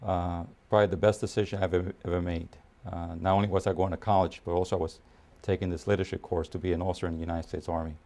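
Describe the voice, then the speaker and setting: Man in his fifties, deep and mellow voice, army officer recounting his career, pausing often. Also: level tone. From Puerto Rico, raised in New York City. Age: 50s. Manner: measured, with frequent pauses and fillers. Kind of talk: documentary interview.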